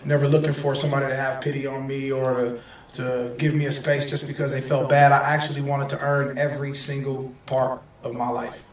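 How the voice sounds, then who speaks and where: A hoarse man from Detroit, a singer, talking loosely and directly to a gathered audience in slangy, conversational speech. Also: medium pitch, little pitch movement, fairly quick. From Detroit, USA. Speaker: singer and guest speaker. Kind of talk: casual heartfelt talk to an audience. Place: gathering in Malibu. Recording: echoey room, distant mic, music behind.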